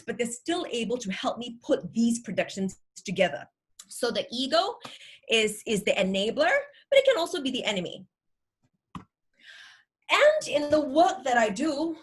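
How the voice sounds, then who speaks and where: Energetic Singaporean woman giving a talk. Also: fairly high pitch, slightly rough. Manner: energetic, upbeat. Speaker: workshop speaker. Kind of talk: online workshop presentation. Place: home room with virtual background. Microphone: video call.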